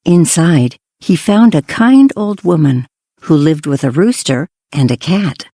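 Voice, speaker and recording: Woman, fairy-tale narrator, animated, soft-toned mellow voice, dry sound. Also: low voice, unhurried, dry recording. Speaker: children's story narrator.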